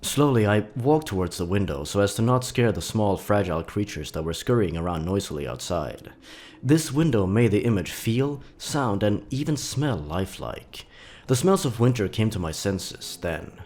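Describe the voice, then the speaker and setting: Low-pitched man narrating horror stories. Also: animated, normal speed, a little mellow. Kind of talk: scripted dramatic narration of horror fiction.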